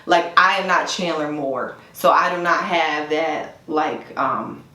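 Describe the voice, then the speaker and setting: A woman's voice: sits low, slow pace, sharp tone, echoey room, recorded from a distance, rough recording. A woman guest talking casually about singing, chatty and full of "like". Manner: chatty, laughs easily, lots of "like". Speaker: guest vocalist.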